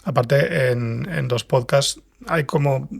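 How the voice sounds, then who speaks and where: Man, mid-pitched, husky, gravelly creak, interview guest explaining. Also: slow. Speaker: podcast guest, scriptwriter and fiction podcast creator.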